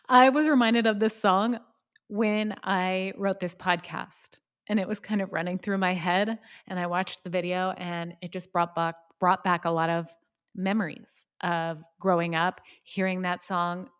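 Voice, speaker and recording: A woman of about fifty hosting a solo podcast, giving conversational advice in an informal way and putting questions straight to the listener. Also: mid-range voice. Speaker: podcast host and parenting coach.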